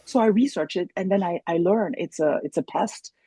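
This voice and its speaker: A woman's voice: on the low side, moderate pace, warm tone, breathy edge, no echo, clean studio sound. A woman in her sixties, a native German speaker raised in France, telling stories animatedly as a podcast guest, with many restarts. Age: 60s. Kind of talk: casual conversation.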